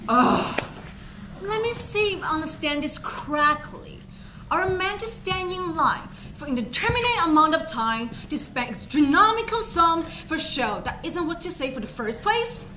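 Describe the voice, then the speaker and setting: A woman's voice: very high-pitched, sing-song, moderate pace, sharp tone, heavily acted. A woman acting an exasperated, cynical ticket queuer in an amateur stage comedy. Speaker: student actor in a school play. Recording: noisy stage recording.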